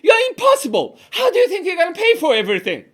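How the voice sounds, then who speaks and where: A man voicing a fishmonger's wife in a one-performer comic tale, scolding her drunken husband in a sharp, exasperated manner. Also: very high voice, wildly swooping pitch, fast, exaggerated voice.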